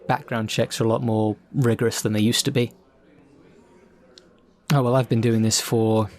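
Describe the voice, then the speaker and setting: A man's voice: medium pitch, darkish tone, close to an everyday voice. A man playing a chatty, self-deprecating supervillain for hire in an audio roleplay, rambling politely and hesitantly. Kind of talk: audio roleplay, conversational in-character monologue.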